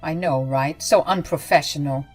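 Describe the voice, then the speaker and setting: A woman's voice: deep voice, moderate pace, darkish tone, faint music behind, poor audio. A woman voicing a self-centered young bride-to-be who talks in a whiny, entitled way.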